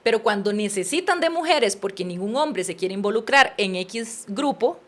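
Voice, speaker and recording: A woman in her thirties with a Costa Rican accent, a podcast guest telling her life story in an earnest, animated way. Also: medium pitch, normal speed. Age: 30s. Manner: earnest, animated, addresses listener as usted. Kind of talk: personal storytelling in conversation.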